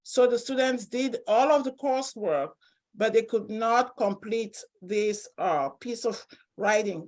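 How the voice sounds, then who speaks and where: A woman from Cameroon with a Cameroonian accent, speaking as a professor giving an informal, personal academic presentation at a seminar. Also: mid-range voice.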